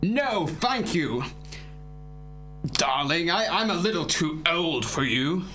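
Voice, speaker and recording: A woman's voice: fairly low pitch, lively intonation, a bit bright, a little hiss or hum, pitched below the performer's own voice. A woman voicing a doddery old male sultan, Jasmine's father, in a comedy sketch. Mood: muddled. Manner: slow, vague, mishears things.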